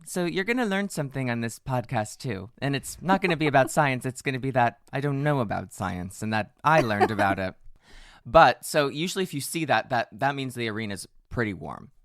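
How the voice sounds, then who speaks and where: A hoarse man co-hosting a casual podcast, joking and rambling in a self-mocking way. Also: medium pitch, animated, a little fast, fairly bright tone, no echo, studio quality. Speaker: podcast co-host. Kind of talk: casual chat.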